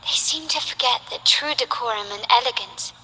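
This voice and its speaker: A woman playing a poised, ambitious young noblewoman in a video game, speaking in an elegant, formal, measured way. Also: a little high, sharp tone, slight background noise, poor audio. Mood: refined disdain. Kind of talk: scripted video game character voice lines.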